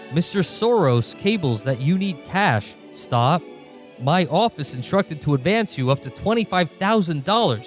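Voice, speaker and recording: A man acts an excited townsman proclaiming news in a radio comedy. His raised voice swings widely up and down, with music behind. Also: fairly high pitch. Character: excited townsman reading out a telegram-style message. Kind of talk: comic radio play.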